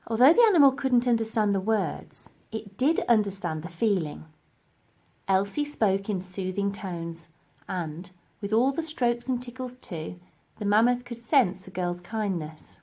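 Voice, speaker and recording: A woman reading a children's story aloud in an expressive narrating style. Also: mid-range voice, unhurried. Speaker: storybook reader.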